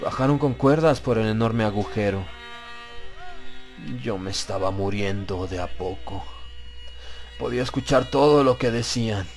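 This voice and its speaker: A man narrating a horror story in a slow, deliberate, grave and dramatic way, as for an audiobook. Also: medium pitch, a little mellow.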